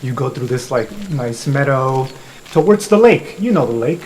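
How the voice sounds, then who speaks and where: A man's voice: medium pitch, expressive, normal speed, a little mellow, slight background noise, low-quality recording. A man hosting as game master, narrating an improvised tabletop game in an informal, joking way.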